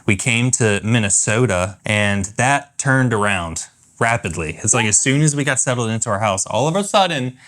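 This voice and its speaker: A man co-hosting a vlog, explaining things in a relaxed, casual way. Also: medium pitch, moderate pace. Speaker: content creator, co-host. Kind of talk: casual chat.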